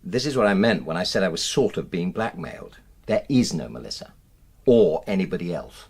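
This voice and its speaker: A man's voice: low-pitched, animated, fairly quick, darkish tone, breathy edge, heavily acted. A man acting in a naturalistic radio drama as a defensive, aggrieved husband, earnest and emphatic as he justifies himself. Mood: uneasy, explaining. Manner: earnest, emphatic, self-justifying, hesitant under questioning. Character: aggrieved, defensive middle-class husband suspected of an affair.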